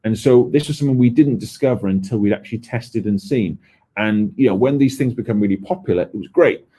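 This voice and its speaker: A man's voice: medium pitch, fairly quick, mellow, slightly rough. A man from Wales, giving a conversational conference presentation on user research, with frequent ums and restarts.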